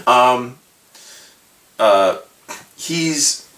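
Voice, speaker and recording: A man giving a casual, rambling hobby commentary as a video host, halting and informal, often circling back as he talks. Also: mid-range voice, lively intonation, pushed and bright. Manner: halting, hesitant, meandering, informal. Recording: roomy echo, distant microphone.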